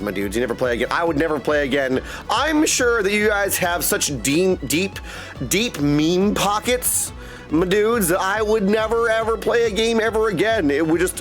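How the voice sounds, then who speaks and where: A man chatting casually to viewers as a game streamer, with a goofy, theatrical, playful manner. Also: sits high. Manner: goofy, theatrical, playful with invented silly words; addresses listeners repeatedly as "my dudes" and "my friends". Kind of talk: casual livestream chat to viewers. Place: indoors at a desk in a streaming setup. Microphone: streamer microphone and headset.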